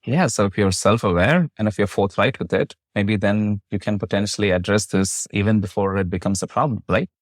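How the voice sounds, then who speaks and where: A man, a physician for whom English is a second language, hosting a podcast interview with measured, formal, carefully built questions. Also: lowish voice, no echo, studio quality. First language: not English. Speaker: host, physician.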